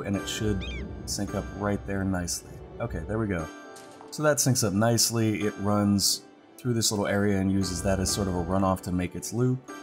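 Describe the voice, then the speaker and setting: A congested man giving casual gameplay commentary as a let's play host, relaxed and thinking aloud as he clicks. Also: fairly low pitch. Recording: background music under voice.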